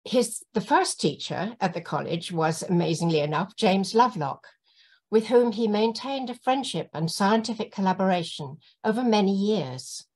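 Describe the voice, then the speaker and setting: Female moderator introducing an old friend over a video call, admiring and a little halting. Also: mid-range voice, expressive, darkish tone. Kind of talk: introducing a speaker. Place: home room with bookshelves.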